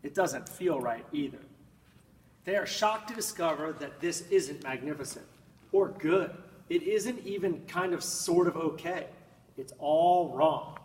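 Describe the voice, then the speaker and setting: A man reads a picture book aloud with theatrical emphasis and drawn-out words. His voice sits a little high. It comes from some distance in a very echoey room, with a faint layer of noise. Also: darkish tone. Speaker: storybook reader. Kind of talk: expressive children's picture-book read-aloud.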